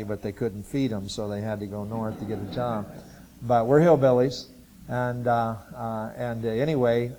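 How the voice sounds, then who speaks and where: A man in his sixties, raised in Ohio, talks to a church gathering about his work teaching future missionaries. His delivery is halting and rambling, full of filler sounds and restarts. His voice sits at an ordinary middle pitch for a man. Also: dark tone, rough recording. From Ohio, USA. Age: 60s. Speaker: Bible college missions teacher. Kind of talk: informal testimony talk to a church group.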